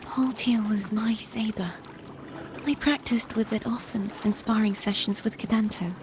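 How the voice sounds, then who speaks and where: An actress playing an earnest, wistful young female knight in a video game, speaking softly and reflectively over music. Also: a little high, fairly even intonation, a little slow, noisy background, lightly acted. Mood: wistful, sorrowful. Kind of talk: video game character dialogue. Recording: music behind the voice.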